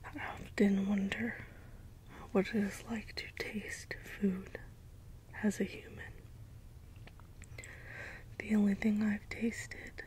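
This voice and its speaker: A woman playing a gentle, puzzled caretaker robot in a soft, breathy ASMR role-play whisper, with halting, deliberate delivery. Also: medium pitch, little pitch movement, close to an everyday voice, low-quality recording. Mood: gentle, wistful. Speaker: ASMR performer. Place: small dim indoor space with cloth backdrop. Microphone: close ASMR microphone.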